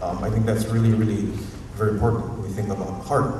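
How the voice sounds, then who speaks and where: A university professor from Hawaii with a Hawaiian accent, giving a rambling, informal, unscripted talk at a community forum and often chuckling. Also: male voice, fairly low pitch, level tone, fast talker. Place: museum auditorium. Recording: echoey hall, distant mic, faint noise.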